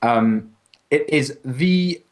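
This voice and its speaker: A man's voice: mid-range voice, animated, very slow. A man chatting casually on a podcast, ranting emphatically and swearing freely. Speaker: podcast co-host. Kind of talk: casual, profane podcast banter.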